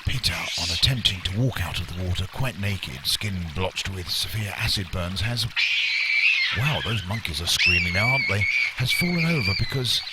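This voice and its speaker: Man as a fussy, highly expressive jungle radio broadcaster. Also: normal speed, heavy background noise. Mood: flustered, queasy. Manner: earnest, fussy, hesitant. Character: Jonathan, pedantic, squeamish male jungle radio broadcaster. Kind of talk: mock radio documentary commentary. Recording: very loud jungle animals and water.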